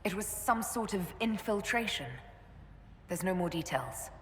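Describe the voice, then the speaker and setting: An actress playing a composed, resolute female leader of a fantasy order in a video game, speaking in a measured, earnest way. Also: medium pitch, animated, fairly bright tone. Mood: explaining. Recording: roomy echoing hall, faint background noise.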